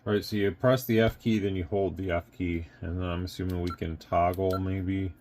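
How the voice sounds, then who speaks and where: Male product reviewer, deep and mellow, unscripted and casual, rambles while thinking aloud. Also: steady tone, normal speed, a little hiss or hum. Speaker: product reviewer and radio seller.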